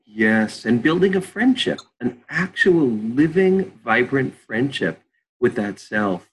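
An older man, a therapist, leading a slow guided visualization and then chatting about his practice. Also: medium pitch, sing-song, a little hiss or hum, low-quality recording. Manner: slow soothing guided-meditation delivery.

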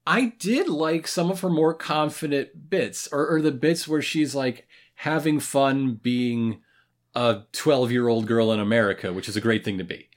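A podcast co-host in their thirties chatting casually in a review, often pausing mid-thought. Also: medium pitch. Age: 30s. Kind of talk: casual review chat about a cartoon.

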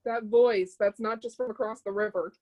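An actress plays a blunt, unimpressed woman in a stage play reading. Her voice sits a little high. She talks in a dry, clipped, colloquial way, handing out advice as someone who has seen it all. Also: fairly even intonation, moderate pace, mellow, natural voice. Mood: bossy, dry.

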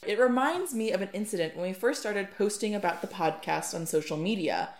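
A woman's voice: medium pitch, expressive, fairly quick. A woman co-hosting a podcast, asking curious questions and reading scripted lines. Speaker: podcast co-host. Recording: echoey room.

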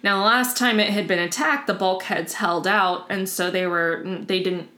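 A woman co-hosting a casual podcast, relaxed and chatty as she walks her co-host through a historical account. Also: mid-range voice, normal speed. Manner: relaxed storytelling, frequent "um" and "like".

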